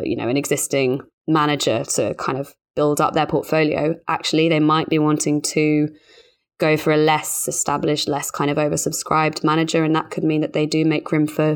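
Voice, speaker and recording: A woman hosting a podcast, discussing financial news in a measured, analytical and conversational way. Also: low voice, clean tone. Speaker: podcast host and financial journalist.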